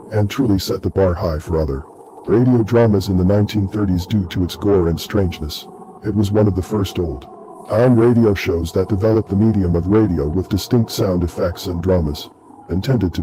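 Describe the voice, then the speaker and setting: Man putting on a low, dark ghoulish host. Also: a little hiss or hum, lightly acted, poor audio. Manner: theatrical, formal. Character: ghoulish male horror host, courtly and macabre. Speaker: host of a horror radio show. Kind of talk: scripted introduction to old-time radio horror.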